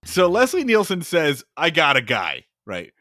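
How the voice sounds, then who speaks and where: Podcast co-host, male, lively comic storyteller who slips into goofy voices; dry, close sound. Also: a little high, measured pace, no echo. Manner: animated, acts out scenes in funny voices, swears. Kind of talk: casual chat recapping a film.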